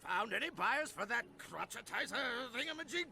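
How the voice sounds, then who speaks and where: A man playing a crotchety, knowing old islander in a video game, with a creaky voice and a theatrical, folksy storytelling manner. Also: extremely high pitch, very animated, a little fast, bright, forceful tone, a little hiss or hum, exaggerated voice. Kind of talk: video game cutscene acting.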